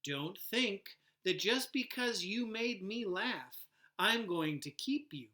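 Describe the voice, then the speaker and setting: A man reading the lines of a grumbling yet soft-hearted young boy in a storybook, slow and drawn-out. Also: high voice, expressive, full character voice. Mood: stern.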